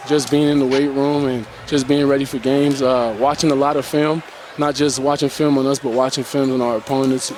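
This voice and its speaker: A young man, a basketball player, answering interview questions after a game in an earnest, relaxed way with many fillers. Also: fairly high pitch, a little hiss or hum.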